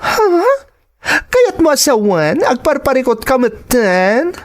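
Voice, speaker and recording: A woman's voice: high-pitched, sing-song, slow pace, exaggerated voice. A woman playing a sassy, nosy, concerned female friend in an Ilocano radio drama, exclaiming and probing. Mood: disbelieving concern.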